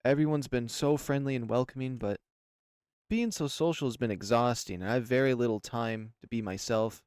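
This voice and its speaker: A man's voice: mid-range voice, moderate pace, a little mellow, clear, resonant tone, dry recording, studio quality. A man reading a visual novel aloud as first-person narration for a channel. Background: music.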